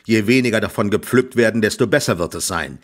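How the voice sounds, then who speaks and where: A man voicing a gruff, stout middle-aged farmer who scolds and threatens in a rough voice in an audiobook. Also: fairly low pitch, fast, lightly acted. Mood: gruff, threatening. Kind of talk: audiobook character voice.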